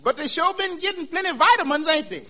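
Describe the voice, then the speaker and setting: A man's voice: extremely high pitch, expressive, quick pace, pushed and bright, heavily acted. A man playing a folksy, sly shoeshine man with dry wisecracks and mock politeness in 1940s radio comedy. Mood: sly, mock-innocent. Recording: noisy 1940s radio recording, studio audience.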